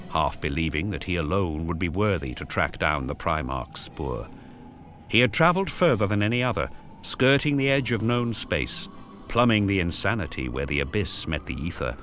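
A man giving scripted dramatic narration, grave and storytelling, with deliberate pauses. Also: sits low, fairly bright tone.

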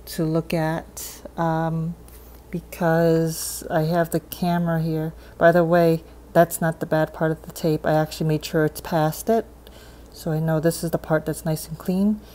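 A woman's voice: low-pitched, flat delivery, unhurried, mellow. A woman giving a casual, unscripted hands-on demonstration, thinking aloud with a hesitant delivery. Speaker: hobbyist demonstrating cassette tape repair. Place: indoors at a desk.

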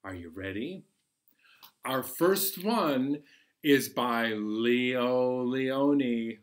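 A man in his forties presenting a storytime for young children in an animated, playful manner, recorded at home in a small room. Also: medium pitch, extremely slow. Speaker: children's library storytime host.

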